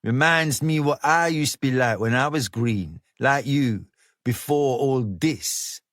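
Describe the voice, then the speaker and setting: A man voicing a cocky, flashy male gang leader who talks in slang, smooth and menacing. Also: mid-range voice, takes it slowly, fairly bright tone, no room sound, clean studio sound. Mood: coaxing. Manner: coaxing street slang, persuasive.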